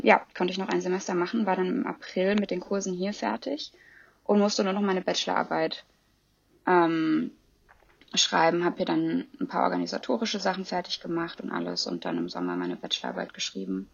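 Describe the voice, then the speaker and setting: A woman's voice: fairly low pitch, creaky, husky. A woman in her twenties chatting in an interview, rambling with many fillers and restarts. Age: 20s. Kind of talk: casual interview chat. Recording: dull lo-fi dry sound.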